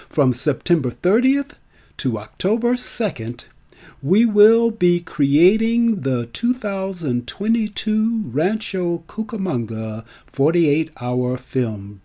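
An older man, an actor by trade, telling his own story in a deliberate way, repeating words for emphasis. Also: a little high, dark tone. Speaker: actor and performer. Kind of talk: personal storytelling monologue.

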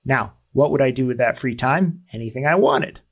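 A man's voice: medium pitch, lively intonation, moderate pace, gravelly, husky. Forties man explaining in casual talk. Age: 40s. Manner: conversational, self-deprecating, frequent fillers and restarts. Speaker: podcast host.

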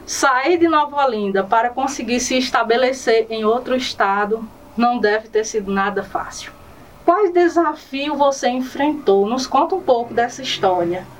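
Higher-voiced woman hosting an interview. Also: slight background noise. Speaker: interview host. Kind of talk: interview questions. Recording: distant microphone.

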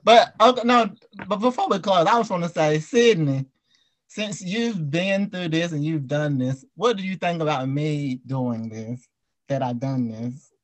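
A man's voice: a little high, measured pace. A man hosting a casual talk show, chatting in a playful, teasing, slangy way. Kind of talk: casual chat with guests.

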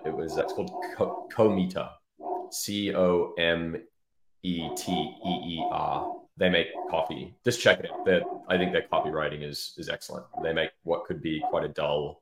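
Very deep-voiced man in a roomy space. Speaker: interview guest, head of product marketing. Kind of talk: casual expert interview talk. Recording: roomy, echoing sound.

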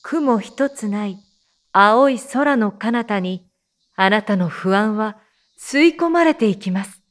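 Very slow, soothing meditation teacher acted by a woman. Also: medium pitch, lively intonation. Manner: hushed, measured counting and gentle instructions. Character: soothing female teacher leading meditation amid zombie threat. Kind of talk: slow guided meditation in a voice drama.

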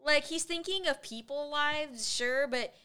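A woman's voice: high-pitched, a little slow, bright, forceful tone. A woman co-hosting a podcast, recapping an old film in casual chat, laughing often and acting out characters' lines. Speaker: podcast co-host.

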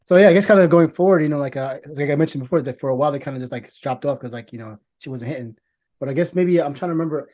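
Male podcast host, very quick delivery, casual and rambling, circles back mid-sentence. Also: on the high side, darkish tone. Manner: rambling, halting, often restarting sentences; profane. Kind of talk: casual film discussion.

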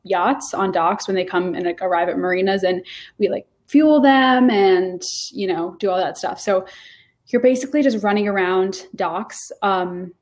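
A woman's voice: mid-range voice, normal speed, a little mellow. Chatty young woman with many fillers. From Rhode Island. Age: 20s. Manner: rambling, many fillers and restarts. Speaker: guest. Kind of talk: casual interview chat.

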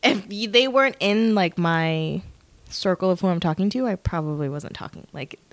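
A woman in her mid twenties speaks with a slightly low voice in a dry, close-sounding recording. She co-hosts a casual podcast, talking informally and unscripted, with lots of filler words. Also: moderate pace, dry recording. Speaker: podcast co-host.

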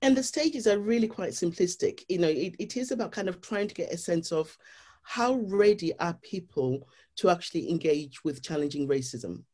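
A guest with a Zimbabwean accent speaks in an earnest, explanatory way, laying out ideas one stage at a time. The pitch sits in a middle range, and the talk is steady and sincere. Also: moderate pace. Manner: earnest, explanatory, with frequent "you know". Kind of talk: reflective interview talk.

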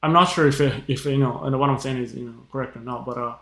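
A man's voice: mid-range voice, fairly even intonation, gravelly, a bit bright. Man in an echoey room. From Japan. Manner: halting, leans heavily on "you know". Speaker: podcast host. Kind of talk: casual chat. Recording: roomy echo.

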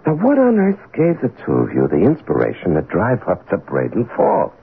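A man's voice: mid-range voice, wildly swooping pitch, moderate pace, creaky, breathy edge, exaggerated voice. An actor playing a skeptical, weary man asking doubtful questions in a radio mystery drama. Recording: tinny old radio broadcast recording.

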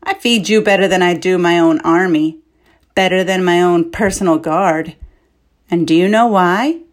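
A woman's voice: lowish voice, moderate pace, natural voice. A woman reading a story, voicing a domineering, scheming king who speaks harshly. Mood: commanding. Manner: commanding, harsh.

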